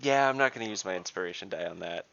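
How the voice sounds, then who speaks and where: Low, gravelly man, animated pitch, hesitant player. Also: racing, muffled recording. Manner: hesitant, counting things out, muttering asides. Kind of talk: thinking aloud through his turn.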